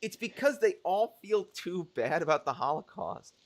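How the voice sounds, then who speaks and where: A man co-hosting a casual online stream, his pitch leaping around as he riffs. He talks with a jokey, animated energy. His voice sits a bit higher than most men's. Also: moderate pace. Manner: animated, joking, laughs through hot takes. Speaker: co-host of a mahjong stream.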